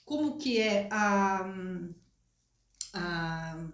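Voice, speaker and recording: A woman's voice: medium pitch, animated, extremely slow. A woman, hosting a conversational interview and feeling her way through her questions with rephrasing. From Brazil. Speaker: interviewer, host. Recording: roomy, echoing room sound.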